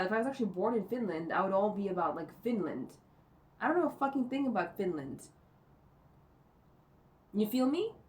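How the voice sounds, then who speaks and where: A woman vlogging from home, thinking out loud in a rambling way, full of fillers and cursing. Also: medium pitch. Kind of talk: rambling think-aloud monologue. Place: indoors at home. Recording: distant mic with faint noise.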